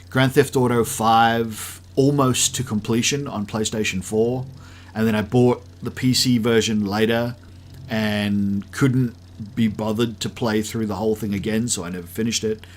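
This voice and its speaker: A man in his late thirties from South Africa, with an accent that mixes South African, Australian and American. He chats casually and rambles while streaming a game, thinking aloud with many ums. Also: mid-range voice. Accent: mixed South African, Australian and American. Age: late 30s. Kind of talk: live-stream gameplay chat.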